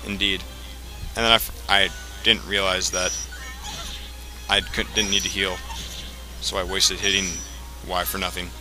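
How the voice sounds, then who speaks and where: A man giving casual, rambling video game commentary, explaining things in a halting way as he plays. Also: low voice, little pitch movement, low-quality recording. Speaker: let's play commentator. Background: video game music.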